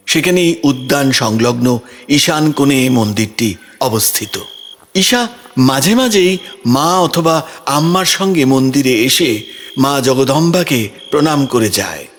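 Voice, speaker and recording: Lively male narrator of dramatic stories. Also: medium pitch. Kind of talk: dramatic scripted story narration. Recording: music behind the voice.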